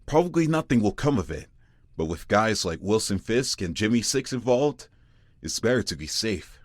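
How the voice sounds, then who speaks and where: A man playing a concerned, fatherly newspaper editor in a comic dub, cautioning and unhurried. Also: fairly low pitch, very animated, no room sound, clean studio sound.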